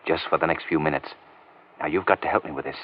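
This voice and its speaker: An actor playing a suave, commanding detective in an old radio drama, speaking in a measured, authoritative way. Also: male voice, a bass voice. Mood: calm, reassuring. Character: suave, commanding male detective with secret identity. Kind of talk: 1940s radio drama acting. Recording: muffled old radio recording.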